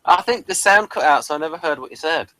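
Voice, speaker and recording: A man with a sharp, bright voice pitched a little high talks through a casual review. He is hesitant and halting in delivery. The sound is close and dry, with no room around it. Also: lively intonation, no room sound. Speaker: podcast reviewer.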